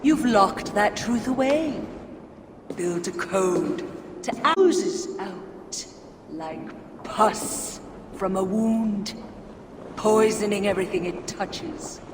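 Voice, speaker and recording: An actress playing a cruel, gloating villainess in a video game, with a theatrical, taunting and savoring delivery. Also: female voice, mid-range voice, very slow. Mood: scornful accusation. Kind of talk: dramatic game cutscene acting. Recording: long hall reverb, noisy ambience behind.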